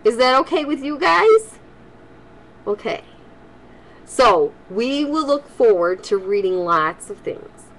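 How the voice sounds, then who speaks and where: An older woman, a grandmother, speaking to children in an expressive, homely storytelling style. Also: a little high, slow, long pauses, poor audio. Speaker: grandmother reading stories for children. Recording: home recording with faint background noise.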